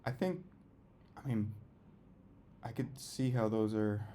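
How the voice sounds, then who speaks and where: A man's voice: on the low side, expressive, slow, dark tone. A man in a reading group discussion, speaking tentatively, hedging and trailing off as he thinks aloud. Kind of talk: casual discussion.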